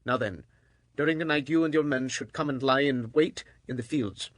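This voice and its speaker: An actor playing an urgent, conspiring messenger advising a commander in a dramatized audio Bible. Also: male voice, mid-range voice, normal speed, no room sound, close to an everyday voice. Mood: urgent scheming.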